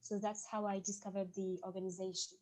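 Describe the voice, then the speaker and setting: A woman's voice: mid-range voice, little pitch movement, fairly quick, a little mellow, rough recording. A seventeen-year-old young woman from France introducing herself as a youth panelist. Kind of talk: self-introduction.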